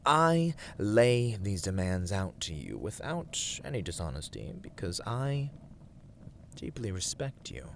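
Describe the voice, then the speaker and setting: A proud, menacing demonic warlord in an ASMR villain roleplay. His low voice is slow and deliberate, grandly commanding, and often sinks toward a breathy whisper. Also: sing-song, background noise, full character voice.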